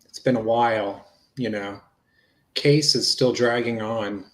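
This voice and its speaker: A man's voice: fairly low pitch, fairly even intonation, a little slow, a bit bright. A man reading the part of a patient investigator in a police interview, explaining things plainly and casually. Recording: roomy, echoey sound.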